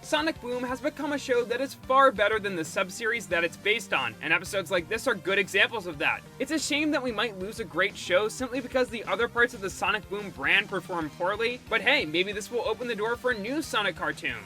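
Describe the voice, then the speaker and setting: A man's voice: high-pitched, lively intonation, a little fast, hardly any pauses, pushed and bright. A man narrating a scripted cartoon review, with snarky asides and music behind him. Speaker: video essayist and reviewer. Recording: background music under the voice.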